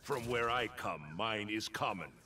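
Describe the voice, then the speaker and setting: An actor playing a grave, dignified thunder god warrior in a fighting game, speaking in a formal, portentous manner. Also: male voice, lowish voice, a little slow, bright, forceful tone, a little hiss or hum. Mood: proud, grave. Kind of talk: fighting game character dialogue.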